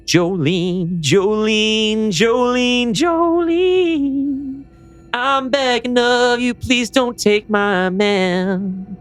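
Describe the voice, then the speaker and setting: Jilted male killer acted by a man: strained high voice, menacing, possessive, theatrical pleading and sneering, horror drama. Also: extremely slow, fairly bright tone, smooth, faint music behind, heavily acted. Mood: eerie pleading.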